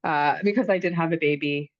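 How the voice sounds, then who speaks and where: A woman in her thirties from the United States, hosting a podcast in a relaxed, chatty way, with lots of fillers and restarts. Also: deep voice, brisk, no room sound, highs cut off. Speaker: podcast host. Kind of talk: casual conversation.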